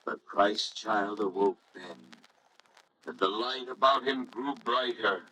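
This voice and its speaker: Slow, low-voiced male story narrator. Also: sharp tone, slightly rough, rough recording. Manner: solemn, reverent, measured. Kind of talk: scripted storybook narration, old radio drama.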